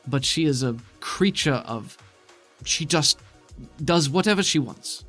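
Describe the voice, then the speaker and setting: A man voicing a skeptical, wary female plant-being scientist in a formal, measured way for a tabletop game. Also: fairly high pitch, animated, a bit bright, faint music behind. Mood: exasperated. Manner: formal, measured, avoids contractions.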